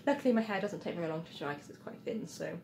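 A woman in her thirties with a croaky, just-woken voice, chatting casually into a phone at arm's length indoors. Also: lowish voice, expressive, fast talker, darkish tone, roomy sound, slight background noise. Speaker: costume sewing vlogger. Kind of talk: casual morning vlog to camera. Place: dim room at home, indoors.

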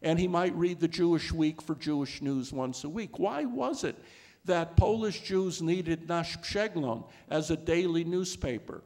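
A man in his sixties answers questions on a lecture hall stage, speaking into a handheld mic. His voice sits a little high for a man. He talks thoughtfully, circling back with many restarts and "uh"s. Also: expressive, measured pace, darkish tone. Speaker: panelist. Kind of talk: scholarly panel talk.

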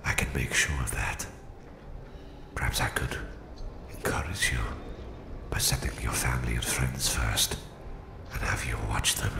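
A man voicing a menacing, gloating Russian villain who captures his listener, in a slow, sinister ASMR roleplay whisper with a gravelly voice. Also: steady tone, full character voice. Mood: cold threat. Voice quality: very deep, gravelly, breathy whisper. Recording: roomy, echoing voice.

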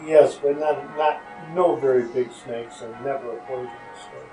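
A man's voice: medium pitch, steady tone, normal speed, warm tone. Older man in an echoey room. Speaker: recurring podcast guest. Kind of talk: casual question-and-answer. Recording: roomy echo, music behind. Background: music.

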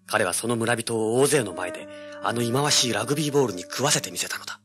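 A man's voice: mid-range voice, fast, over music, close to an everyday voice. An actor playing a brash, driven young male treasure hunter in an audio drama, with intense dramatic delivery. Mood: tense, grim. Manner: cocky, intense, rough casual speech. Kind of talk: dramatic first-person narration and dialogue, audio drama.

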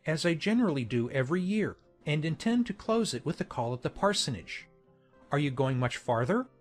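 Courteous gentleman colonel, lightish pitch. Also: big pitch swings. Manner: polite, measured formal phrasing. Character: courteous gentleman colonel, an earl's younger son. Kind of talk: dramatized audiobook acting. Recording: music behind the voice.

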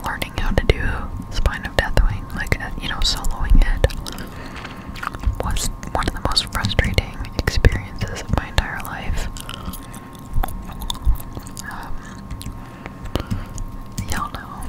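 A young woman whispering casual ASMR game commentary in a breathy voice, close to the microphone. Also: rough recording. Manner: casual, slangy, unhurried chat. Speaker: gaming ASMR streamer. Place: indoor room at home. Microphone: close-up Blue Yeti microphone.

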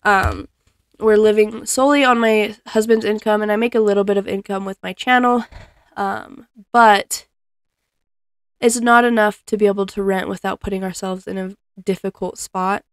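A young woman talking casually at home while she folds clothes. She rambles in a friendly way, full of ums and small laughs. Her voice sits at a middle pitch, and the recording is dry, with almost no room sound. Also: steady tone, moderate pace, dry recording. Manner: chatty, laughs often, frequent ums. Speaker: cleaning vlogger. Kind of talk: casual chat while folding laundry. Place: living room at home, indoors. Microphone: fixed camera across the room.

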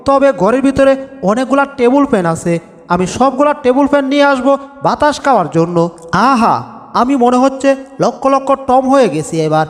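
A man voicing a whiny, bossy comic cartoon cat in a Bengali dub, grumbling and narrating his own doings with exaggeration. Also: extremely high pitch. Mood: scheming, relieved. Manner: exaggerated complaining, self-narrating. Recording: long reverb over background music.

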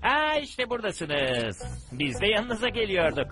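Skittish, swooping cartoon animal. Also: male voice, sits high, a little slow, heavily acted. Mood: sheepish. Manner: excitable, swooping delivery. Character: skittish, skeptical animal who denies being a coward. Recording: music behind dialogue.

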